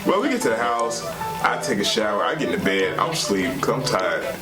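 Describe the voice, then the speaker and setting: A man's voice: fairly low pitch, big pitch swings, fairly quick, distant mic. An American man co-hosting and casually telling his side of a story, emphatically repeating phrases. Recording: roomy echo under loud hip hop music.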